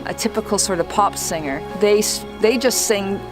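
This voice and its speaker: A woman who once worked as a trial lawyer, coaching viewers on public speaking in a calm, deliberate and well-projected voice. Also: mid-range voice, over music. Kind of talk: instructional talk to camera.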